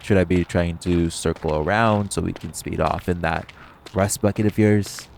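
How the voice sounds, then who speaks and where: Man playing a cocky, gravelly male vampire. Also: low voice, fairly even intonation, normal speed, a little hiss or hum. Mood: bantering. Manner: sardonic, swearing, bantering. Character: cocky, teasing, flirtatious male vampire, long-lived yet youthful. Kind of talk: audio roleplay acting.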